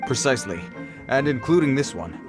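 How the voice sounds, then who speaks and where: An earnest young soldier on guard duty, acted by a man for an anime video game. He speaks formally and a little stiffly in a medium-pitched voice, with music behind him. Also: expressive, a little fast, lightly acted. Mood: concerned, formal.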